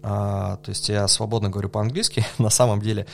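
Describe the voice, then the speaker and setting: A man with a low voice and a gravelly edge gives long, thoughtful answers in a casual podcast chat. He hesitates often and fills pauses, sometimes letting out a quiet laugh. A faint hum sits under the recording. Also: fairly even intonation. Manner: thoughtful, hesitant with many fillers, laughs softly. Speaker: podcast guest, coffee judge. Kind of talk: relaxed conversational interview.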